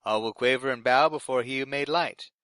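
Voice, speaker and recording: A man's voice: mid-range voice, a little fast, bright, forceful tone, dry recording, natural voice. A man playing an earnest young radio intern. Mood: earnest, tentative. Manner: polite, earnest delivery of absurd news. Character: nervous, eager young male radio station intern. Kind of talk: scripted fiction podcast in community radio broadcast manner.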